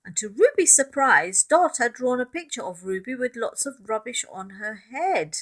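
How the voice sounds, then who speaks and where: A woman reading a children's picture book aloud in expressive narration, with asides to young listeners about the pictures. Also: fairly high pitch, moderate pace. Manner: addresses young listeners, points out pictures, asks questions.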